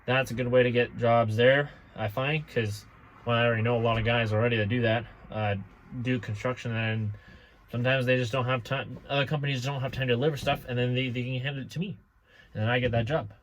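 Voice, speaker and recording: A man's voice: medium pitch, steady tone, fairly quick, poor audio. Young guy, twenties, chatty vlog delivery, loose and rambling, outdoor camera mic. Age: 20s. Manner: rambling, many restarts and fillers. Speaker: small trucking business owner. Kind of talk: casual talk to camera. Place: outdoors beside his truck.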